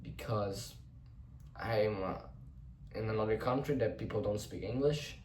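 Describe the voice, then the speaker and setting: A man's voice: on the low side, a little mellow, far from the mic, slight background noise. Reflective man talking in a roomy space. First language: Portuguese. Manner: reflective, rambling, halting restarts, casual swearing. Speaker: vlogger. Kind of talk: unscripted personal monologue. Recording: roomy echo, voice set back from the mic.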